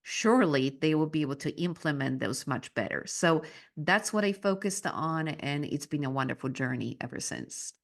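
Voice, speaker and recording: A woman from Poland, a native Polish speaker, talking as a guest on a podcast in an earnest, measured way about her coaching work. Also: low voice, expressive, creaky. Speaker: guest, business mindset coach. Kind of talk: podcast interview.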